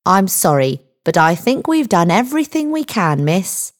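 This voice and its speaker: A woman voicing a male police sergeant in a story, friendly but condescending and dismissive, with measured delivery. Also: fairly low pitch, very animated. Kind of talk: audiobook character dialogue.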